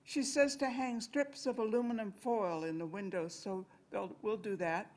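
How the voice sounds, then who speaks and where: A woman's voice: medium pitch, fairly even intonation, normal speed, a little mellow. An older woman reading a conversational poem aloud at a podium microphone. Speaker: amateur reader in a reading-aloud group. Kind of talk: expressive poetry recitation. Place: library meeting room, indoors.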